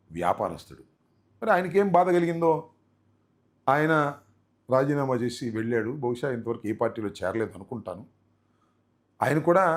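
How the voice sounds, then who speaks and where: High-pitched man, animated political commentary. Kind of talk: unhurried political commentary addressed to viewers.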